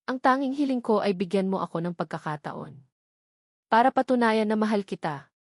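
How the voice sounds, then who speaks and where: A woman's voice: mid-range voice, lively intonation, moderate pace, no echo, studio quality, natural voice. Woman voicing an earnest, devoted lawyer father. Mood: remorseful, pleading. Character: devoted male lawyer and father, remorseful, protective.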